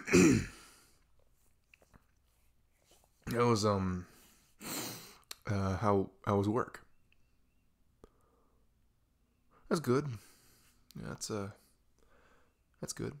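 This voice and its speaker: A man playing a depressed, hesitant boyfriend in an intimate ASMR roleplay, speaking haltingly and trailing off. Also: fairly low pitch, gravelly, full character voice. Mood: downcast, evasive. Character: depressed, hesitant boyfriend opening up to his partner.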